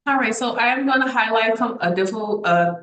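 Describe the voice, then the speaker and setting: A woman's voice: a little high, brisk, fairly bright tone, reverberant. A woman academic advisor, walking an audience through slides. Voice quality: slightly raspy from a cold. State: getting over a cold. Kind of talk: presenting a college info session.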